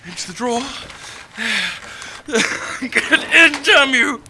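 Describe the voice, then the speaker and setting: Slow, fragile, distraught young person. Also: female voice, on the high side, expressive, background noise. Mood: agitated, bitter. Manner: halting, repetitive muttering. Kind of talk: audio drama acting.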